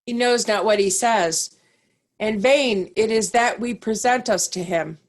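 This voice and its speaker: A woman's voice: mid-range voice, measured pace, natural voice. A woman playing a grave, dignified noble duke in a Shakespearean play reading. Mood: grave, commanding.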